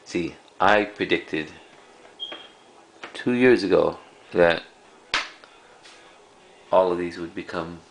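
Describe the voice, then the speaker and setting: Casting: man, very low creaky voice, casual collector showing items, halting and unscripted, slight hiss of background noise. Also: poor audio. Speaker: cassette collector presenting a collection. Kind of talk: casual unscripted show-and-tell.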